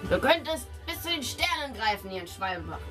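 A chatty, folksy village craftsman in a fantasy tabletop game, acted out by a woman. The voice is high and animated, flattering and full of gossip, over background music. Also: fairly quick, far from the mic. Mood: coaxing.

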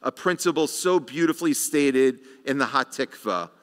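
A middle-aged man, a rabbi, giving a sermon at a lectern microphone with slow, measured delivery and rhetorical pauses. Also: mid-range voice, animated, fairly bright tone. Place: large sanctuary, at a lectern. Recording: reverberant sanctuary sound.

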